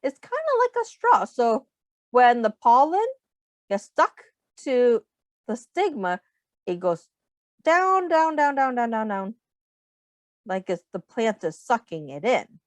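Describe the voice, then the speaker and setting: A woman reading a children's book aloud as a teacher, expressively, pausing to explain things simply and ask young listeners questions. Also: fairly high pitch, sing-song, no echo.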